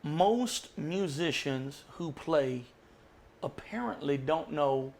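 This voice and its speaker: A man's voice: on the high side, expressive, slow, gravelly. A man who hosts a jam session and sings, talking casually and emphatically on a podcast. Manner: emphatic storyteller, quotes others in a raised voice. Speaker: jam session host and singer.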